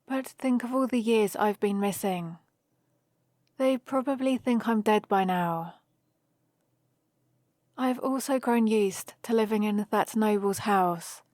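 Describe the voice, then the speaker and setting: Woman acting a slow-paced, playful young adventurer heroine. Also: mid-range voice, no echo, studio quality, close to an everyday voice. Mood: wry, wistful. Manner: chatty, rambling, gently teasing. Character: young human heroine adventurer, playful, teasing, fond of dragon friend. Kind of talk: ASMR roleplay, one-sided conversation.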